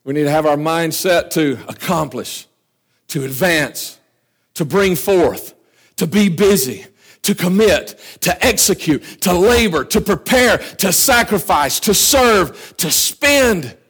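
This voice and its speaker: A man preaching a sermon with fervor, often dropping to quiet asides. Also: high-pitched, lively intonation, takes it slowly, breathy edge. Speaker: pastor. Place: church.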